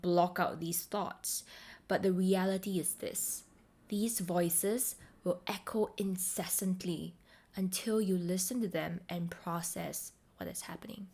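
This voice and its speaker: A woman hosting a self-help podcast, speaking to the listener directly in a deliberate, encouraging way. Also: medium pitch, a little mellow. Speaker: podcast host and voice coach.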